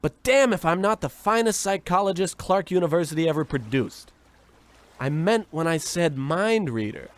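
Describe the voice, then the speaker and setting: A man's voice: fairly high pitch, animated, normal speed, lightly acted. A man playing a pompous, self-absorbed, insulting adventurer in an old-time radio comedy. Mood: smug. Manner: pompous, bossy, theatrical.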